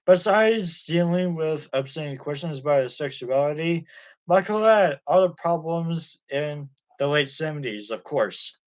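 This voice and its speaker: A man with a fairly high voice reads a biography aloud. His delivery is plain and steady, with occasional slips and restarts. It sounds like an amateur audiobook reading. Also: a little slow. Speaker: audiobook reader.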